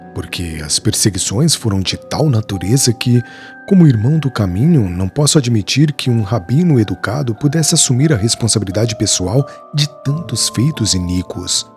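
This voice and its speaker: Man doing an earnest weaver in an audiobook, solemn and measured, low and lively in pitch, soft music underneath. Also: natural voice. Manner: solemn, measured storytelling of past suffering. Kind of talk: audiobook character dialogue. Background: faint background music.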